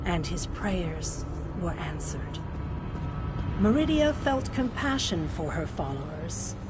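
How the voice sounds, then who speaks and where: An actress playing a solemn female mystical advisor in a fantasy game, speaking in a measured, formal, portentous way. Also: mid-range voice. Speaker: quest-giving advisor character. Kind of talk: fantasy game lore narration and dialogue.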